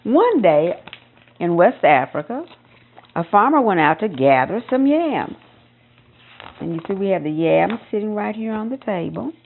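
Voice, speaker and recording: A woman reading a children's picture book aloud in an expressive storytelling style, with emphasis on repeated phrases. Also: mid-range voice, a little slow, creaky, pauses often, phone-quality sound, a little hiss or hum. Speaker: storybook reader.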